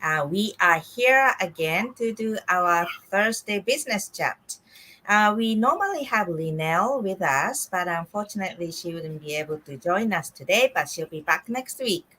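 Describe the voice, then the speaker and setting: A middle-aged woman from Japan hosting a casual online business chat, friendly and unhurried, with frequent fillers. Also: medium pitch, expressive, bright, forceful tone, rough recording. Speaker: host.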